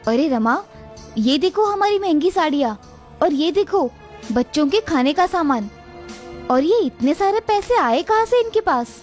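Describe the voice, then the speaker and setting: Woman playing a cartoon elder sister-in-law, high voice, firm, cautious, music behind. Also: lively intonation, measured pace. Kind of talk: Hindi cartoon story dialogue.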